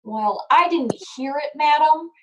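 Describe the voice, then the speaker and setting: A formal female telephone operator, played by an actress in an old-time radio play. Her voice is fairly high, unhurried and detached, always polite and unruffled. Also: highs cut off, slight background noise. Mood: formal, unmoved.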